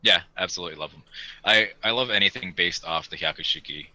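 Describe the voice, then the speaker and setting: Very deep, sharp-voiced man. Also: quick pace, low-quality recording. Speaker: podcast host. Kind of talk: casual chat.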